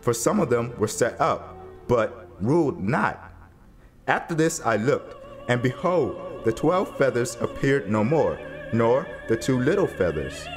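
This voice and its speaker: A man with a mid-pitched voice narrates scripture as drama. His delivery is very slow and solemn, measured like grave storytelling, with music faintly playing behind him. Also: big pitch swings.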